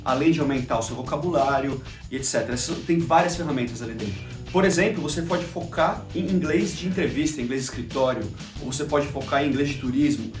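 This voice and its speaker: A man's voice: mid-range voice, distant mic. A Brazilian man with a Brazilian Portuguese accent, hosting a casual vlog and chatting to camera with lots of fillers. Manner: chatty, conversational, frequent fillers. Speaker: YouTube channel host. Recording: roomy echo, faint music behind.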